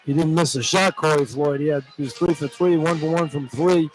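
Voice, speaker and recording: Quick-talking male sports radio analyst. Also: fairly high pitch, fairly even intonation, soft background music, poor audio. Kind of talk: conversational live sports analysis. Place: inside a basketball arena, broadcasting live.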